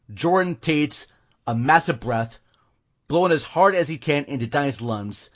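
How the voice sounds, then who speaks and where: A man's voice: medium pitch, a bit bright, dull audio. A man hosting and narrating an audio drama from a script in a dramatic style. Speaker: podcast host and narrator.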